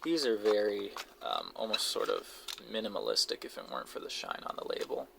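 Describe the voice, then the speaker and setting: A man giving casual, unscripted show-and-tell commentary in a low-key, halting way, as if musing to himself. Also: huge pitch swings, vocal fry. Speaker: hobbyist video creator showing a cassette collection. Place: basement, indoors. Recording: noisy amateur audio with clicks and handling noise.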